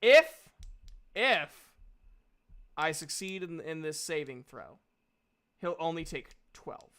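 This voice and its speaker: A man's voice: fairly high pitch, lively intonation, slow pace, fairly bright tone. A man casually narrating a tabletop game as the game master.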